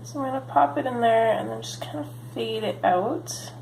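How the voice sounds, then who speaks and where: A woman's voice: on the high side, moderate pace, creaky, echoey room, slight background noise, poor audio. A woman hosting a coloring video, talking casually with lots of ums while she works, a bit sniffly from a cold. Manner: relaxed rambling with frequent ums. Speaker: coloring channel host. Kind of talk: casual chatty coloring tutorial.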